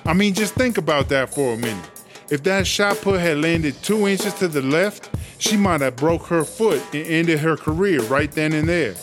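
An actor playing a boastful prison inmate hustler in a comedy audio drama, rambling in streetwise, sweary slang. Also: male voice, fairly high pitch, lively intonation, normal speed, over music, lightly acted.

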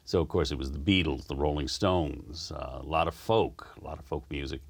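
A very deep-voiced man with a slight Southern American lilt, chatting easily as a guest. He talks in a relaxed, rambling way, drifting from one memory to the next. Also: a little fast, creaky, husky. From United States. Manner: easygoing, rambling storyteller. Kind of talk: relaxed conversational interview.

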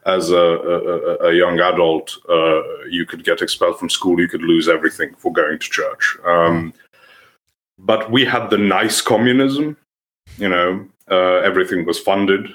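Deep-voiced young man with a Serbian accent. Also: fairly even intonation, fairly bright tone. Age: young adult. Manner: thoughtful, halting, frequent restarts. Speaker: podcast guest. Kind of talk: conversational podcast interview.

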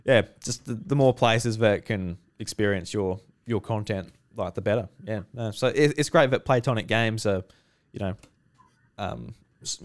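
A man's voice: fairly low pitch, a little mellow. A man interviewing game developers in casual chat, talking loosely with lots of fillers. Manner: chatty, lots of fillers and laughs.

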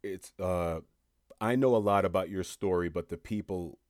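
A man from Haiti hosting a podcast, telling stories with animated rises and dips in emphasis. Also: sits low, normal speed, no room sound, studio quality. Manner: animated storyteller, rising and falling in emphasis. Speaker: podcast host. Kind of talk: casual podcast interview.

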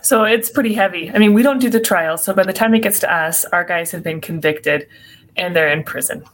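Woman w/ a hint of minnesota accent, kinda low voice, measured and earnest, lots of "you know". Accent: slight Minnesotan. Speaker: appellate attorney guest. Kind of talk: conversational interview answers.

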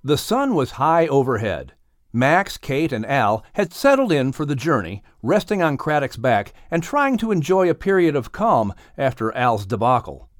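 Animated male storybook narrator. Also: medium pitch, normal speed, dry recording, studio quality. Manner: dramatic, expressive storytelling. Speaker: audiobook narrator. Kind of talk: scripted children's story narration.

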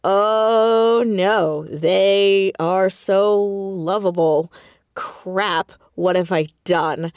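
A woman talking casually as an interview guest about her writing, with animated, self-deprecating storytelling and emphatic stresses on words. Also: medium pitch, extremely slow, smooth, no echo, studio quality. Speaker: podcast guest, audio drama writer and creator.

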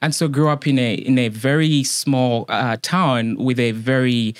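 Young man, interview guest, smooth, measured, dry close sound. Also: mid-range voice, no echo. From Uganda. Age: young adult. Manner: calm and measured, frequent ums. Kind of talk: casual interview.

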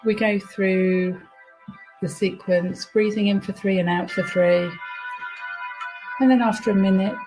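A woman guides listeners through a relaxation meditation. She gives soothing instructions in a mid-range voice, leaving pauses between them. Music plays behind her. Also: medium pitch, fairly even intonation, rough recording.

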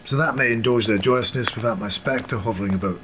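An actor playing a genteel, proud yet modest Scottish football coach in a period audio drama, speaking with formal old-fashioned courtesy. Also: male voice, medium pitch, fairly quick, sharp tone, slight background noise, close to an everyday voice. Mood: earnest, modest.

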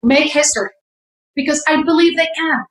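A woman's voice: sits high, moderate pace. Fervent woman in an echoey room. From Jerusalem. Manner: fervent, exhorting, repeats for emphasis. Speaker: guest. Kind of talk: impassioned advice in an interview. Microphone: camera.

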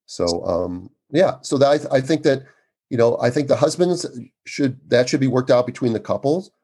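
A man in his sixties, an obstetrician speaking as a guest in an interview, with a loud, emphatic and earnest way of talking. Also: mid-range voice, lively intonation, moderate pace, husky. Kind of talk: conversational interview.

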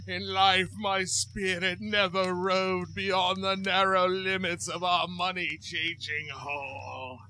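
A man's voice: fairly high pitch, vocal fry, bright, forceful tone, a little hiss or hum, heavily acted. An actor playing the tormented ghost of a remorseful dead businessman in a radio play, declaiming solemnly and wailing in anguish. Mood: weary, tormented. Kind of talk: theatrical radio play acting.

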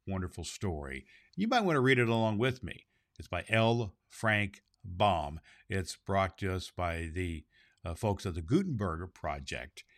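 Santa Claus, played by a man, children's storybook host. Jolly, theatrical, drawn-out delivery, medium male pitch. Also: a bit bright, dry recording, clean studio sound. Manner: theatrical, drawn-out phrasing, ho-ho-ho chuckles. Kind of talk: storybook reading and children's show hosting.

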